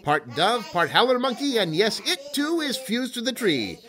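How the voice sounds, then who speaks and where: A man hosting a comedy sketch in the style of a mock infomercial, with a theatrical, sarcastic pitchman delivery. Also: sing-song, moderate pace, sharp tone, faint music behind.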